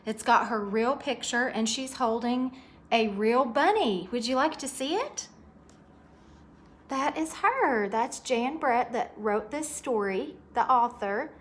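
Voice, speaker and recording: A woman's voice: a little high, expressive, takes it slowly, a little hiss or hum. A woman reading a picture book aloud to young children, expressively, with chatty asides and questions to her listeners.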